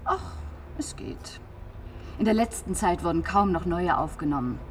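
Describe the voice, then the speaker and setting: An actress playing a polite, breezy attendant at an eerie clinic in a German radio play, courteous and reassuring. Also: female voice, on the low side, animated, moderate pace, a little hiss or hum, lightly acted.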